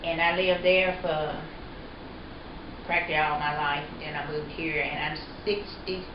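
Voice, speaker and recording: A woman's voice: sits low, steady tone, unhurried. A woman in her sixties from North Carolina, a former mill worker, talking easily about her old job. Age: 60s. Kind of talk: casual interview. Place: living room. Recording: dull roomy audio with background noise.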